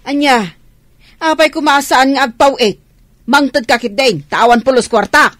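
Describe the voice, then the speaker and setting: A woman's voice: sits high, normal speed, a bit bright, no echo. A woman playing a greedy older mother-in-law in an Ilocano radio drama, nagging and exclaiming indignantly. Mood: demanding, indignant.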